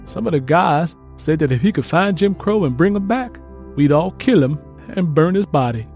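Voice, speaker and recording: Earnest young country soldier, higher-pitched, read by a man. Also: lively intonation, moderate pace, a little mellow, faint music behind. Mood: earnest, reflective. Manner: plain, earnest rural Southern phrasing. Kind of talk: first-person letter read in audiobook.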